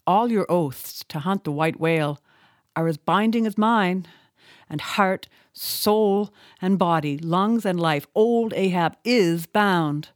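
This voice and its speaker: A woman's voice: lowish voice, takes it slowly, no room sound, studio quality. A woman voicing a defiant old male sea captain, declaiming in grand, impassioned speech. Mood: fierce.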